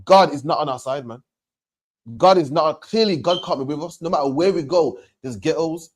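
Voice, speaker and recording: A man's voice: fairly high pitch, normal speed. A man teaching about faith to a questioner, emphatic and repetitive, ending phrases with "man". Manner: emphatic, repeats points, ends lines with "man". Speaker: religious teacher. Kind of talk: street preaching to a questioner.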